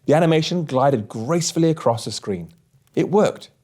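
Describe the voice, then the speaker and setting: A man narrating a scripted story as an audiobook host, with measured, deliberate phrasing. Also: fairly high pitch, lively intonation, warm tone.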